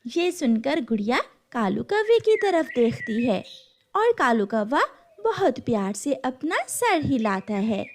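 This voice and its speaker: Woman telling a cartoon story, slow pace, lively high voice, faint music. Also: a little hiss or hum. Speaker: narrator. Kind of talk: scripted cartoon story narration.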